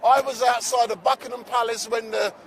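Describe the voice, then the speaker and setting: A man's voice: very high-pitched, steady tone, a little fast, fairly bright tone. A man from Barbados, working as a street interviewer at a protest, chatty and teasing, often cutting in to keep the floor. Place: outdoors at a city street protest. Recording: outdoor recording with crowd around. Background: crowd noise.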